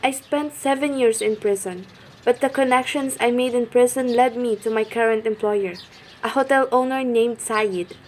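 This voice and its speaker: A woman's voice: a little high, moderate pace, slight background noise. A woman reading a long recounting speech in a student radio play. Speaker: student voice actor. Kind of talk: amateur radio drama acting.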